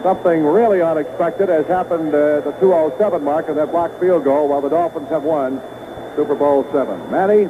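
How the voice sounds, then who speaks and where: A man's voice: on the high side, a little fast. A man doing live radio play-by-play of a football game in short, clipped phrases. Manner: clipped phrases, calling action as it happens. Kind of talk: live sports commentary. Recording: noisy phone-like vintage radio broadcast.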